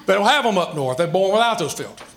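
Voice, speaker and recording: A man's voice: high-pitched, very animated, fast, fairly bright tone. A man in his sixties with a Southern American accent, preaching a sermon emphatically, with rhetorical questions and folksy asides. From Southern United States. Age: 60s. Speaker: pastor.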